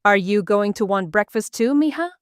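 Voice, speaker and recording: A woman's voice: medium pitch, expressive, moderate pace, smooth, no echo, clean studio sound, close to an everyday voice. A woman voicing a cheerful, affectionate mother with a Spanish accent in an audiobook. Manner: bubbly, affectionate. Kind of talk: audiobook character dialogue.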